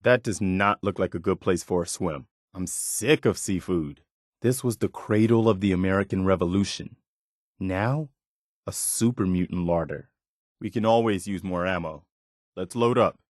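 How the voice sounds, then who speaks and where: A weary but principled militia soldier from a post-apocalyptic video game. His voice is on the low side and recorded dry and close. He talks sincerely and plainly, like a loyal companion on the road. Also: normal speed, dry recording. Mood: watchful, matter-of-fact. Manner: sincere, plain-spoken, conversational.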